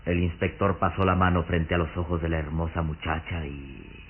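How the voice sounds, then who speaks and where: Very deep, solemn radio narrator. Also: male voice, flat delivery, moderate pace, slight background noise. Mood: grave, ominous. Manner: grave, suspenseful, theatrical. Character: solemn narrator of a gothic horror tale. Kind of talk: dramatic scripted radio narration. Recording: muffled old radio recording with music.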